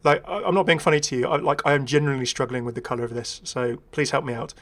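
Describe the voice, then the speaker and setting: A man's voice: mid-range voice, fairly even intonation, quick pace, a bit bright. A man giving casual, rambling first-impressions commentary as a gaming video creator, chatty, enthusiastic and self-deprecating.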